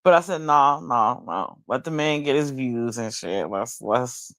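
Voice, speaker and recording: A woman hosting a late-night livestream, talking bluntly and profanely, musing slowly with many restarts while high. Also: expressive, fairly bright tone, dry recording, clean studio sound. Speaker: livestream host. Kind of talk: late-night casual commentary. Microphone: streaming mic.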